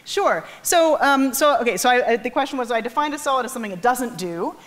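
This is a woman with a New England American accent and a somewhat high-pitched voice. She lectures informally, like a professor talking to a general audience. Her explanations are animated and often double back as she rephrases herself. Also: quick pace. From New England, United States. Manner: animated explaining, self-correcting with frequent false starts. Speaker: physics professor giving a lecture. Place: large auditorium stage.

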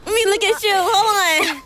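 A young woman being interviewed outdoors, loud, giggly and playful. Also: extremely high pitch. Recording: noisy outdoor street sound.

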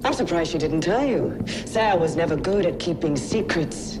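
A woman playing a cold, mocking Imperial inquisitor in a video game, taunting her opponent with deliberate phrasing. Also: on the low side, lively intonation, background noise, lightly acted. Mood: mocking, menacing. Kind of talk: dramatic video game acting. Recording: loud music behind the voice.

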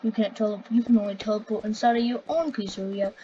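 A woman's voice: mid-range voice, fairly even intonation, quick pace, warm tone. A young woman playing a game with a friend, teasing and goofy in playful roleplay chat. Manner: goofy, teasing, squealing in bursts. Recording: slightly dull home mic with faint noise.

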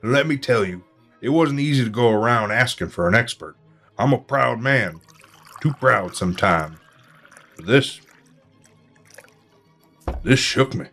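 A man's voice: medium pitch, animated, a little slow, long pauses, fairly bright tone, faint music behind. An actor playing a proud, plainspoken old small-town man, earnest and rattled, in an audio drama. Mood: earnest, shaken. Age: older.

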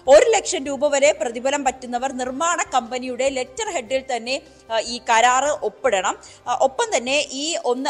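A young woman with a Kerala accent giving a live news report, hesitating and repeating phrases. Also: sits high. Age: young adult. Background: news music bed.

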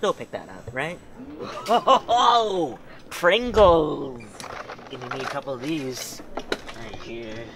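A young man doing a comic shopping vlog to camera in a manic, giggly way, swinging between mutters and yells. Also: medium pitch, very animated, slow pace. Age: young adult. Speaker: comedy vlogger and host. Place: large store aisles, indoors. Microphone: handheld camera a short distance away. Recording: clear background store noise.

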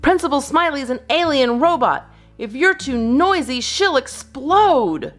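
A woman's voice: high voice, lively intonation, unhurried, soft background music, pitched above the performer's own voice. A woman voicing an eager, proud little kindergarten boy in a children's storybook. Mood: excited warning.

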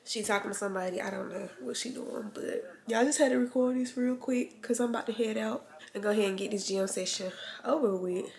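A woman's voice: a little high, fairly even intonation, brisk, gravelly, a little mellow. Chatty young woman vlogging from her car. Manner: chatty, slangy, addresses viewers as y'all. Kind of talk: casual vlog chat to camera. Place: parked car and indoors at home. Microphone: handheld camera at arm's length.

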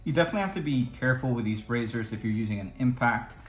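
A man's voice: mid-range voice, lively intonation, racing, slightly rough, soft background music. A man giving a plain, step-by-step voice-over as he walks through a scooter repair. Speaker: DIY scooter builder. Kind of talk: tutorial voice-over.

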